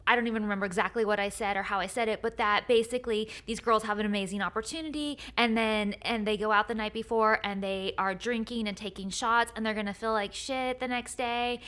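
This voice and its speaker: Bright, animated middle-aged woman. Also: medium pitch. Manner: animated, talks in long run-on stretches with restarts; laughs often. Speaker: podcast co-host. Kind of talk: casual chat recapping a reality show.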